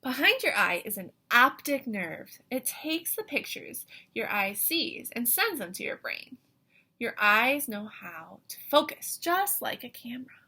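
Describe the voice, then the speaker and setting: A woman reading a picture book aloud to young children like an excited teacher, with animated wonder, questions and asides in a breathy voice. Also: fairly high pitch, unhurried, low-quality recording.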